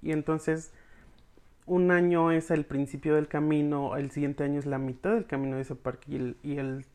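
The speaker has a Mexican accent and a medium-pitched voice. They retell a story informally, as if to a group of friends. The delivery is slangy and expressive, with dialogue casually acted out along the way. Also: moderate pace. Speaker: podcast reviewer recapping episodes for followers. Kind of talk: informal storytelling recap, addressing the audience as friends.

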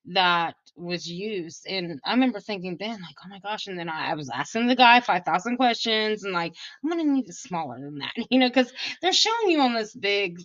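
A woman's voice: mid-range voice, expressive, normal speed, few pauses, fairly bright tone, no room sound, muffled recording. A woman co-hosting a true crime podcast, chatting casually and inquisitively in informal, broken bursts. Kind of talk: casual chat.

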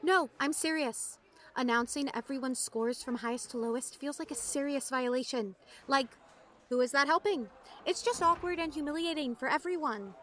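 A woman's voice: sits high, normal speed, a bit bright. A woman playing an indignant, outspoken teenage schoolgirl in an audio drama, talking casually and emphatically. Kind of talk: naturalistic audio drama acting.

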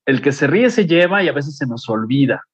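A man's voice: on the high side, very animated, fairly quick, breathy edge, no room sound, muffled recording. A man from Mexico who hosts a casual interview and asks playful, teasing questions. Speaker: host.

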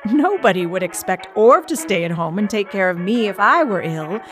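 A woman's voice: medium pitch, animated, moderate pace, faint music behind, natural voice. A woman voice actor giving a dramatic reading as a frank, dutiful woman of the early twentieth century. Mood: frank, aggrieved. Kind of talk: dramatic reading of a historical letter.

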